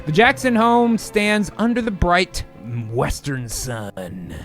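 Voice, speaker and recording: A man with a slightly high voice narrates an improvised scene. He moves between scene-setting and profane, irreverent banter. Soft music sits behind him. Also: huge pitch swings, slow pace. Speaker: narrator and host.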